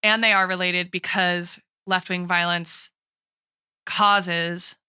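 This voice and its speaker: A woman's voice: fairly low pitch, flat delivery, slow pace, sharp tone, clear, resonant tone, no room sound, clean studio sound. A woman in a casual, analytical podcast discussion, thinking aloud as she goes and often checking in with "right?". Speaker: podcast co-host and guest expert.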